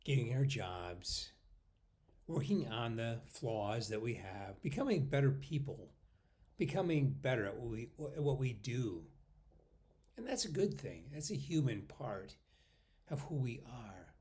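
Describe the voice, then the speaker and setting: Man, meditation guide, slow pace, lively pitch movement, short paused phrases, soft trailing endings. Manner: unhurried, pausing between short phrases, often trailing off softly.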